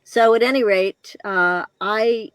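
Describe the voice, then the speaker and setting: Older American female interview guest, halting personal storytelling, very leisurely pace, dry close sound. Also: medium pitch, fairly bright tone, clear, resonant tone, no room sound. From Connecticut, northeastern United States. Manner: reflective, halting, pausing between short phrases. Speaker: guest telling own life story.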